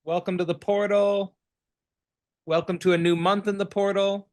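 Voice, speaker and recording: A man hosting an online event, welcoming a guest with a halting introduction that repeats words. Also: high-pitched, level tone. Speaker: host.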